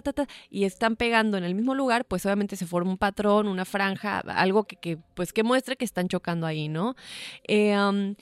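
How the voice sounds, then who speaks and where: A woman's voice: medium pitch, no echo. A woman with a Mexican accent co-hosting a podcast, explaining science in a chatty way, laughing easily and restarting words. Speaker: podcast co-host. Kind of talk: casual explainer chat.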